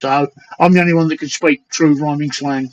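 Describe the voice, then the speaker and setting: A man's voice: a little high, fairly even intonation, brisk, dull audio. An older man from London's East End with a Cockney accent, hosting a casual livestream chat and hammering his points home with "right?". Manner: emphatic, repeats points with "right?". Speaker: livestream host.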